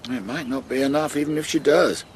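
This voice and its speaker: A man's voice: mid-range voice, brisk, slight background noise, natural voice. An actor playing a sardonic older farmer and father in a radio drama, dry and world-weary. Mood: gloomy. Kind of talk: naturalistic radio drama acting.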